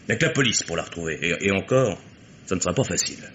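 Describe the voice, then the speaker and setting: An actor playing a blunt, sardonic private detective who argues insistently in a radio mystery play. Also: male voice, low-pitched, expressive, racing, a bit bright, a little hiss or hum. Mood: sardonic, pressing.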